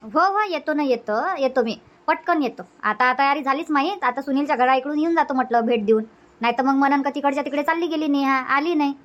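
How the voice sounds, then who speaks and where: A chatty village woman from a cartoon comedy , acted by a woman, talks in a homely Varhadi Marathi dialect. Her voice is very high. The sound is somewhat dull and lo-fi. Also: brisk, lightly acted. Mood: busy, agreeable.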